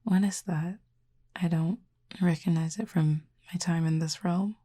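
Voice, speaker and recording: Woman doing a curious cupid for audio rp, soft mellow half whisper, barely rises or falls, kinda formal and dry. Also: on the low side, fairly even intonation, breathy edge. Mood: curious, composed. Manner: formal, measured, gently dry phrasing. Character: calm, curious otherworldly cupid who feeds on feelings. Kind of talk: intimate audio roleplay addressed to the listener.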